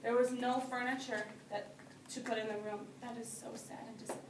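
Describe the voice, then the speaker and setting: A woman reading a picture book aloud to young children as their teacher, pausing to explain things in simple phrases. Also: on the high side, flat delivery. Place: indoor classroom. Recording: roomy, distant microphone with background noise.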